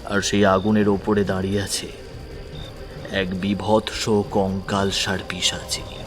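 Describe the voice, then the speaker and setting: A man narrating a horror story in a suspenseful, dramatic way. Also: medium pitch. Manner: suspenseful, hushed at times.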